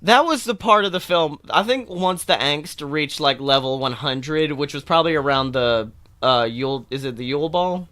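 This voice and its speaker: A man co-hosting a casual film chat podcast, talking in a jokey, animated way and swearing freely. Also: on the high side, moderate pace, few pauses. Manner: animated, jokey, acts out imagined dialogue. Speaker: podcast co-host. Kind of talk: casual chat about films.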